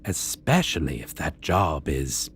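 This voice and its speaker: Man playing a theatrical, low-voiced suave supervillain. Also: very animated, measured pace, a bit bright, slight background noise, full character voice. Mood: incredulous. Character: theatrical, stately, amused supervillain in costume interviewing a minion. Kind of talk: ASMR roleplay acting.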